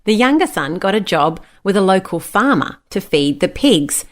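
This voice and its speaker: A woman reads a Bible story for children. Her mid-pitched voice moves in big, animated swings, especially when she voices what the characters say. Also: moderate pace. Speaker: storyteller, a mother. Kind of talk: expressive scripted children's Bible story narration.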